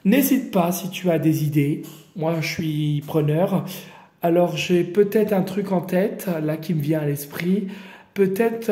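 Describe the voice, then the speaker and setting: A man from the Paris region gives an improvised oracle card reading in a roomy, echoing space. His voice sits a little high but sounds mellow and soft-edged. He rambles along in a familiar way, speaking right to the viewer as a friend. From Paris region, France. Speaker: card reader hosting daily guidance videos. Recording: roomy echoey room.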